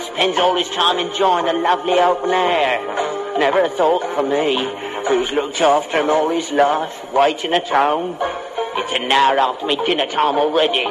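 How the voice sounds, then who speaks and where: A man's voice: fairly high pitch, fairly even intonation, normal speed, over loud music, lightly acted, rough recording. An actor playing a bitter, self-pitying old father, whining in vintage British radio comedy style. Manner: whining, complaining.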